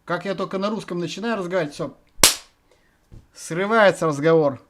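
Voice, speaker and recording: A man's voice: high voice, brisk, slight background noise. A man from Russia vlogging from random video chats, thinking aloud to his viewers in a rambling, hesitant way full of filler words.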